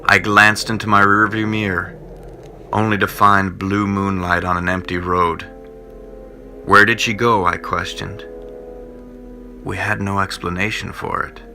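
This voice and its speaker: A man narrating a first-person ghost story in old-time radio style, with measured, dramatic, suspenseful delivery. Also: sits low, completely flat delivery, pushed and bright, faint music behind. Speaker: narrator and host of a paranormal show.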